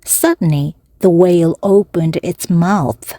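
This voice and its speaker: A woman reads a children's storybook aloud in short, measured phrases. Her voice is mellow and dry, close and plain. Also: on the low side, no room sound. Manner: measured, with pauses between phrases. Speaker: storybook narrator. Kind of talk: slow, clear read-aloud for language learners.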